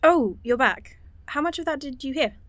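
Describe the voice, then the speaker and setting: High-voiced, homesick young woman. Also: animated, normal speed, fairly bright tone, no room sound. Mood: subdued, awkward. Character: homesick, restless, unhappy young woman stuck on train. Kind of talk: audio drama dialogue, naturalistic.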